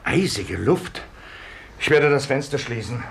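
A humourless captain in a vintage radio mystery. His crisp delivery moves widely in pitch over a faintly noisy archive recording. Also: sing-song, a little slow. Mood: businesslike. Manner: crisp, earnest. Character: stiff, humourless retired-officer hotel guest, a captain. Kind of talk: 1950s radio play acting.

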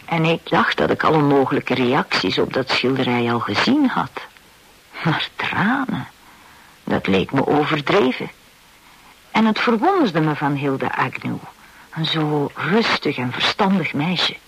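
A man's voice: a little high, expressive, measured pace, fairly bright tone, slightly rough, heavily acted, low-quality recording. A man playing a composed, reflective hotel owner who narrates a radio play in the first person.

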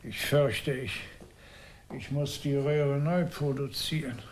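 A man's voice: medium pitch, takes it slowly, a little hiss or hum, close to an everyday voice. A man in a radio play, playing a fussy, over-polite travelling salesman who stammers and gets flustered. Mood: flustered, helpless. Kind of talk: German radio play acting.

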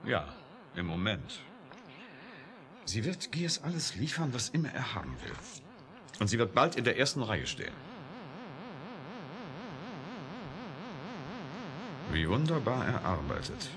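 Sardonic male scientist, wildly animated delivery. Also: fairly low pitch, moderate pace, pauses often, a crowd in the background. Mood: sardonic, reflective. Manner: teasing, needling, philosophical. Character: provocative, sardonic male scientist, defiant and flirtatious. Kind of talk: 1980s German radio drama acting.

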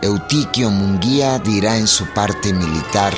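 An actor playing a solemn chronicler, narrating a radio drama. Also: male voice, lowish voice, natural voice. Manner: solemn, measured storytelling. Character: solemn chronicler of a revolutionary battle. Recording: loud music under the voice.

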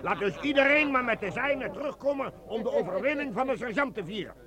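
An actor playing an excitable army captain in a radio drama, rallying his men in an eager, declamatory way. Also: very high-pitched, brisk, full character voice. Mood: rousing, ceremonious. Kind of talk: theatrical radio drama acting.

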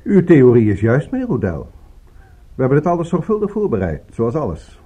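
An actor playing a courteous, coolly polite villain in a radio drama, speaking formally with smooth regret. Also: male voice, mid-range voice, huge pitch swings, brisk, mellow, lo-fi sound.

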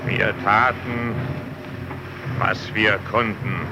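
Casting: grave, weary icebreaker captain, solemn slow declamation, old radio play with clearly noisy sound. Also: male voice, on the low side, little pitch movement. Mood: solemn, rousing. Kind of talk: vintage German radio drama acting.

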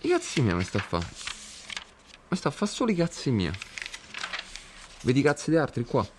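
Guy playing a roman slacker guru, calm then shouty, swings all over. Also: mid-range voice, moderate pace, background noise. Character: laid-back young man preaching a selfish guru philosophy. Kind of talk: scripted comedy sketch.

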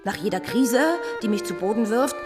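A woman's voice: mid-range voice, lively intonation, normal speed, music in the background, close to an everyday voice. An actress playing a frank, resilient woman in her thirties in a German radio play, speaking lightly and candidly. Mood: wryly cheerful.

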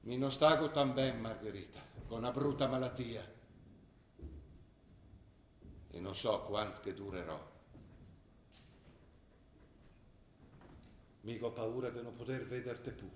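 A man's voice: mid-range voice, moderate pace, a little hiss or hum, natural voice. An older man playing an ill, worried father who lectures and pleads with his daughter, in an earnest manner. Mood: pleading. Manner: earnest, lecturing, rising to anguished pleas. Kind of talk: stage drama acting. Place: theatre stage. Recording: roomy stage recording.

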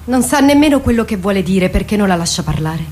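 A woman's voice: medium pitch, expressive, fast talker, darkish tone, a little hiss or hum, natural voice. A woman playing a probing female confidante in a radio drama, insistently questioning.

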